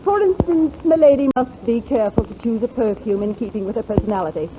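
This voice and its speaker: An actress playing a self-assured, fussy fashion author in 1940s radio comedy, with a refined, lecturing manner. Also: female voice, on the high side, lively intonation, fast talker, highs cut off, natural voice. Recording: slightly hissy 1940s radio recording.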